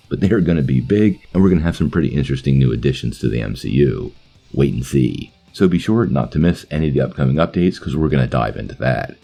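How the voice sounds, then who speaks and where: A man's voice: low voice, dark tone. A man hosting a video commentary, talking directly to viewers as a channel host. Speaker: host of a comic book movie channel.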